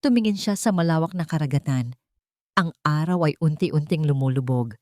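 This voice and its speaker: Female storyteller, lowish pitch, dry close recording; scripted romantic drama narration in measured, deliberate phrases. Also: a little mellow, no echo.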